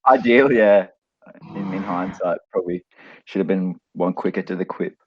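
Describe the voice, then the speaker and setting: Male podcast guest, lower pitch, halting casual delivery, dull dry recording. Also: slightly rough, dry recording. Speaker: band member, podcast guest. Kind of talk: casual joke-telling and chat.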